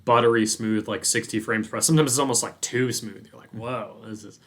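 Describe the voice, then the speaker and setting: A man's voice: lowish voice, fairly even intonation, fairly quick, fairly bright tone. A man hosting a gaming podcast, talking informally and enthusiastically in casual conversation. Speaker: podcast host.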